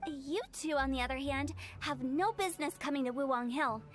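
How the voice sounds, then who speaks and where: A woman voicing a mischievous young female funeral director who makes cheerful, macabre sales pitches in a game. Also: a little fast, faint music behind, natural voice. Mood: teasing. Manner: teasing, salesy, darkly playful. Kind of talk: video game voice acting.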